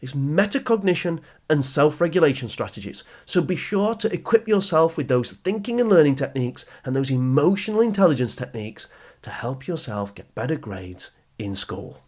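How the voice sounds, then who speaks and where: A man's voice: on the high side, big pitch swings, moderate pace. A man hosting an educational podcast for teenagers, speaking straight to the listener in an encouraging, instructive, emphatic way. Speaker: podcast host and coach for teenagers. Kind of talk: motivational educational podcast monologue.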